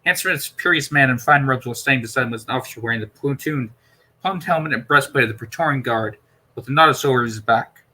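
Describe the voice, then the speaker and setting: A man's voice: medium pitch, quick pace, sharp tone. A man reading a novel aloud as an amateur narrator, now and then stumbling over words. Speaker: amateur audiobook reader.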